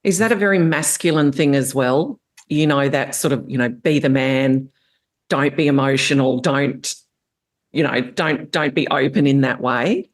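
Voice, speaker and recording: A woman's voice: a bass voice, normal speed. A woman hosting an interview, asking engaged, probing questions and offering brief affirmations. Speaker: podcast host. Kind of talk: interview conversation.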